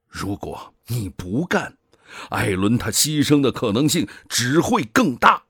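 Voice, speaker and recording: A cold, middle-aged commander in a sci-fi radio drama. His voice is husky and low, and he argues slowly with swelling, dropping emphasis. He is measured, authoritative and persuasive, in a dry studio sound. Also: sing-song, fairly bright tone, no echo, exaggerated voice. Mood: persuasive, earnest. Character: cold, ambitious male project commander, middle-aged, coaxing and self-justifying. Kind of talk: Chinese science-fiction radio drama acting.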